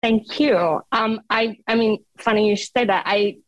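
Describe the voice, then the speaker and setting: A middle-aged woman from Afghanistan, a guest in an interview, speaking earnestly and thoughtfully with frequent pauses and restarts. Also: medium pitch, no echo, lo-fi sound. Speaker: guest, founder of a psychological aid charity. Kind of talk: conversational interview.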